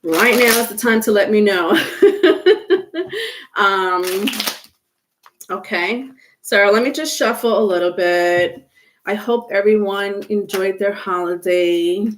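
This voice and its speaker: A woman's voice: mid-range voice, steady tone, takes it slowly, long pauses, a little hiss or hum. A woman with a stuffy nose doing a casual live tarot stream, chatting with viewers and full of fillers, without a microphone. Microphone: no microphone, device mic.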